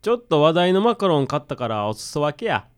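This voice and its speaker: A woman's voice: low-pitched, big pitch swings, fairly quick. A woman playing a cocky, casual odd-job man with a Kansai accent in a comic radio drama. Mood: breezy. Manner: casual, cocky, rough masculine speech. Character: brash, boastful odd-job man who loves sweets.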